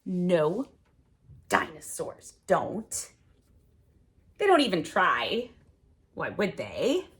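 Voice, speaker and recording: A middle-aged woman hosting a storytime for small children, reading aloud in an expressive, exaggerated way with dramatic pauses. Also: on the low side. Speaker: host of a children's sign language storytime.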